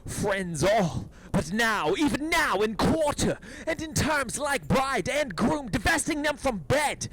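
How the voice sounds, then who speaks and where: A falsely honest soldier in a verse tragedy. He sounds grieved and reluctant, very high for a man and slow in delivery. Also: big pitch swings, fairly bright tone, heavily acted, low-quality recording. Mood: feigned grief. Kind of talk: theatrical Shakespearean acting.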